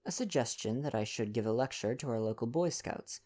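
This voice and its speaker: A man's voice: medium pitch, lively intonation, brisk, a little mellow, natural voice. Older Belgian detective played by a man, storybook character: vain, courteous, self-important, formal foreign turns of phrase. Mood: intrigued. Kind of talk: storybook dialogue reading.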